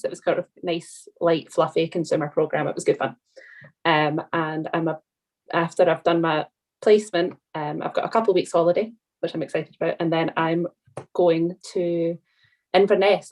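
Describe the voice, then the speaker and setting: A young Scottish woman talking casually in a loose, chatty way, full of little fillers and hedges. Her voice sits a bit low for a woman. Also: darkish tone. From Scotland. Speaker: alumna of a documentary training scheme. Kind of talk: casual panel chat.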